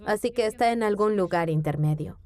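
A woman's voice: medium pitch, fairly quick, darkish tone, faint music behind, lightly acted. A woman dubbing a candid, determined immigrant woman doctor in a documentary, in a matter-of-fact manner. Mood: reflective. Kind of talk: documentary voice-over dub.

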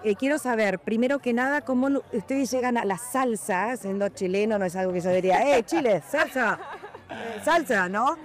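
A woman interviewing guests, lively and talkative, asking long rambling questions. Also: on the high side, normal speed. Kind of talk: casual interview.

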